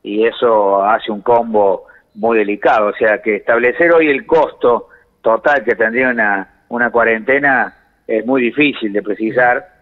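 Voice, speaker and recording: An Argentine man giving measured economic explanations in a phone interview, pausing to search for words. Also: mid-range voice. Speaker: economics commentator, phone guest. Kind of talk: explanatory radio-style interview answers. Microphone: telephone. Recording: reverberant phone call feed.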